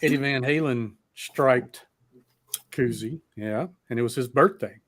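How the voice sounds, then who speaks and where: A man's voice: mid-range voice, measured pace. Casual, profane man hosting a live stream. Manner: profane, rambling and excitable. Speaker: podcast host.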